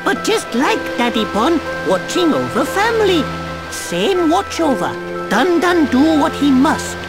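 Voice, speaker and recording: Tiny furry game critter, squeaky high, cheerful n boastful, broken grammar third person, music blaring behind. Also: female voice, sing-song, measured pace. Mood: earnest, wise. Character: small cheerful furry creature, boastful, fatherly, childlike speech. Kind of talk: video game cutscene voice acting.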